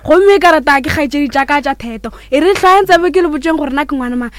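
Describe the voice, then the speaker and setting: A woman's voice: high voice, fast talker. A woman poet reciting a praise poem in a rhythmic, declamatory way. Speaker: poet, radio guest.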